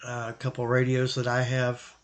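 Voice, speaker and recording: A man hosting a hobby video, explaining gear in a casual, plain-spoken, unscripted way with halting pauses. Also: medium pitch, monotone. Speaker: radio hobbyist host answering viewer question. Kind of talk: casual explanatory product talk.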